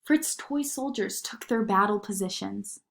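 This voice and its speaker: A woman narrating a children's story in an expressive read-aloud style. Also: medium pitch, unhurried, vocal fry.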